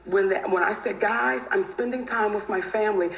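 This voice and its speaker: A woman guest in a televised interview. She speaks in a deliberate, insistent way. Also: mid-range voice, gravelly. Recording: echoey clip with faint noise.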